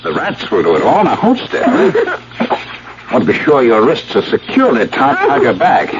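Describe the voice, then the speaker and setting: A dull, hissy old radio recording carries a vengeful, unhinged husband. His delivery swings hugely in pitch, savoring each threat with theatrical, mocking politeness. He is a gloating radio-play villain who draws out his menace. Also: wildly swooping pitch, moderate pace. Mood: gloating, mock-polite. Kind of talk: 1970s radio drama acting.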